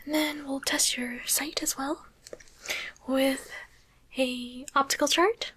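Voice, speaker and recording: A woman's voice: high voice, fairly even intonation, sharp tone, heavily acted. Woman acting a whispering, teasing demon doctor. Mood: curious, coaxing. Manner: slow, deliberate, coaxing whisper. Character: demon doctor, female, softly teasing, curious about humans. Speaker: ASMR roleplay performer. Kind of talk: whispered ASMR roleplay. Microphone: close microphone.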